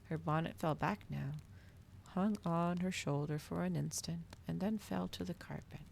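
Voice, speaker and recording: Low, creaky-voiced woman reading softly. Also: moderate pace, a little hiss or hum. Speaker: streamer reading a novel aloud. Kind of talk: ASMR storybook reading, soft narration.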